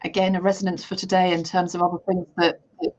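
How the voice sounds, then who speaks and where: A woman interviewing an author, framing her questions in a measured way with a few ums. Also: lowish voice, little pitch movement, darkish tone, muffled recording. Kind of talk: conversational interview.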